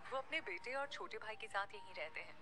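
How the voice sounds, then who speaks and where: Courteous young-man service role, a deferential bellboy, read by a female storyteller, over clear music. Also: medium pitch, expressive, brisk, lightly acted. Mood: polite. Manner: courteous, informative. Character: polite, deferential hotel bellboy informing a guest.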